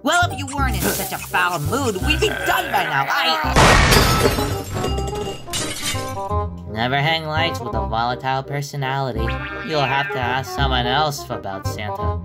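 A grumbling, busy cartoon bird, voiced by a man, brusque and exasperated. The delivery swoops up and down over loud music. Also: medium pitch, big pitch swings, slow, pauses often. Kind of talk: comic dub character voice.

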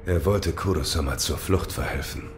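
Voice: very deep voice, moderate pace, a little hiss or hum. An actor in an audio drama playing a grim, loyal shinobi warrior who speaks in terse, solemn phrases. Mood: terse, guarded.

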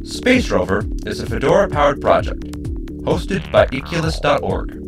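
A man's voice: on the high side, big pitch swings, normal speed, music in the background. A man reading scripted credits as an announcer. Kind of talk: scripted narration.

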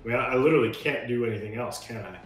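A man's voice: mid-range voice, rapid-fire, recorded from a distance. A man chatting casually as a tabletop game player, with exasperated asides. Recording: very echoey room. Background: music behind the voice.

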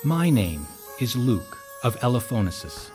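A man's voice: mid-range voice, big pitch swings, measured pace, music in the background. An actor playing an earnest Roman aide-de-camp telling his captain's story in an audio drama. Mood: earnest, reverent. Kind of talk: dramatic first-person storytelling narration.

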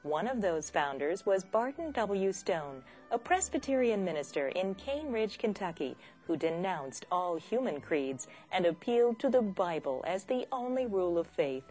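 Woman narrator, lively pitch, soft music underneath, documentary read.

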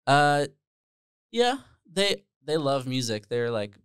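A man's voice: mid-range voice, big pitch swings, slow pace, a bit bright, clean tone, no echo, clean studio sound. A man in his twenties, born in the Netherlands, chatting casually as a guest musician, rambling with plenty of fillers. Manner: rambling, self-deprecating, many fillers. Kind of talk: casual interview chat. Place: small room with bare walls. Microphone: handheld dynamic mic, headphones on.

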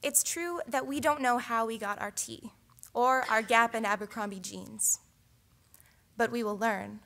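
A young woman speaks at a theatre lectern in a contest speech, earnest and persuasive. Her voice is bright and forward, pitched a little high. She leans on rhetorical questions. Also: a little slow, creaky. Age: young adult. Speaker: student orator. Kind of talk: competition speech. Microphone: lectern microphone.

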